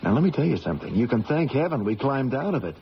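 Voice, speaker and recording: An actor playing a desperate stockbroker husband, insistent and cajoling, in old radio drama style. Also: male voice, mid-range voice, big pitch swings, quick pace. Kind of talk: 1970s radio drama acting. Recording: muffled old radio broadcast, faint noise.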